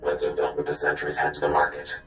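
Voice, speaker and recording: A very deep, wary, guarded informant. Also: male voice, completely flat delivery, fast talker, fairly bright tone, reverberant, a little hiss or hum. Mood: suspicious, guarded. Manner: guarded, demanding. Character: wary, paranoid male informant hiding from killers. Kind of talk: video game dialogue.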